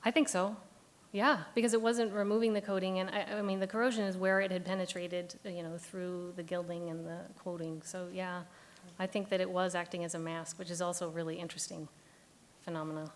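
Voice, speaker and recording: A woman's voice: fairly low pitch, level tone, a little fast. A woman presenter answering questions informally and off the cuff, in a tentative, hedging way. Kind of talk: informal, unscripted Q&A. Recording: faint hiss and background noise.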